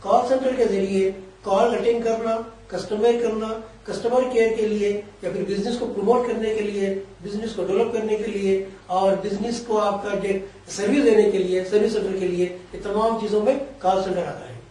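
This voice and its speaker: A man's voice: high voice, steady tone, moderate pace, hardly any pauses, dark tone, far from the mic. Man explaining at length in an echoey room. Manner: long explanatory sentences, Urdu-flavoured Hindi with English terms. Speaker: career guidance expert. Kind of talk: explanatory interview answers.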